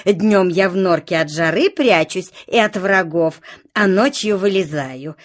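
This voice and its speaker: A woman's voice: fairly low pitch, unhurried, lightly acted. A woman voicing a prim, self-important elderly female toad in a children's audio play, haughty and drawling, with theatrical emphasis. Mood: self-satisfied.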